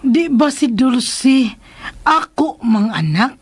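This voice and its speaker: A high-voiced plaintive Filipina mother. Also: very animated, takes it slowly, husky, no echo, exaggerated voice. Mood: plaintive, confused. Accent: Filipino, Cebuano. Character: slow, stubborn, plaintive mother awaiting her child. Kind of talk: Philippine radio drama acting.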